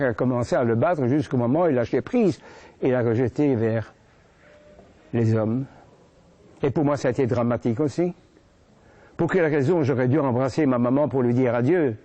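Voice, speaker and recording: An elderly man in his eighties with a Belgian French accent from Brussels gives spoken testimony. His voice is mellow and dark, and he talks haltingly, restarting sentences and repeating words as he goes. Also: mid-range voice. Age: 80s. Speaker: witness giving testimony. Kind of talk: first-person spoken recollection.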